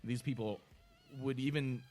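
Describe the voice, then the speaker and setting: A man's voice: mid-range voice, fairly even intonation, normal speed, dark tone, soft background music. A man co-hosting a podcast, casually retelling a film to friends with quoted lines and loose swearing. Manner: profane, acts out quotes conversationally. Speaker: podcast co-host.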